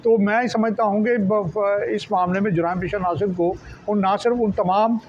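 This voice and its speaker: High-pitched Pakistani man, halting explainer. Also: fairly even intonation, darkish tone. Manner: halting, wordy, repeats and restarts words often. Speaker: senior journalist, interviewee. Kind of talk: explanatory interview answers. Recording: dull lo-fi sound, faint background noise.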